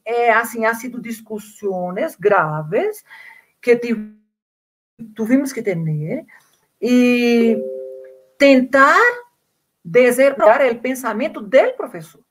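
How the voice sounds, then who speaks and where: Brazilian-accented woman, slightly high voice. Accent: Brazilian Portuguese. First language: Portuguese. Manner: earnest, repeats words while searching for Spanish. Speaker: invited speaker. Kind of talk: online conference talk, answering questions. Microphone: video call.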